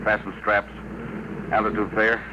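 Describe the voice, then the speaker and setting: An actor playing a steely spaceship pilot barking clipped commands in a 1950 radio drama. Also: male voice, on the low side, completely flat delivery, unhurried, natural voice. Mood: businesslike. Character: steely male spaceship pilot under pressure. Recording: muffled, very noisy old radio recording.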